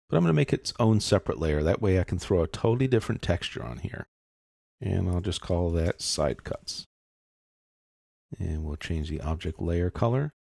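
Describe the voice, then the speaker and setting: A man narrating a software tutorial in a casual, step-by-step explaining manner. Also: sits low, gravelly, no echo, studio quality. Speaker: software instructor.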